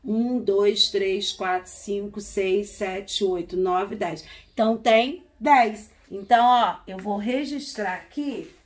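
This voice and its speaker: A woman in her forties teaching young children from a classroom, counting slowly in a sing-song way and repeating each number. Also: medium pitch. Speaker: primary school teacher. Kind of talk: remote lesson for young children. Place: classroom with wall charts, indoors.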